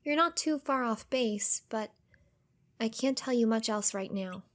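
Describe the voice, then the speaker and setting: A woman playing a gentle but firm, mysterious young female master and fighter in a video game fan dub. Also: a little high, measured pace, lightly acted. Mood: gentle, composed. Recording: music under the voice.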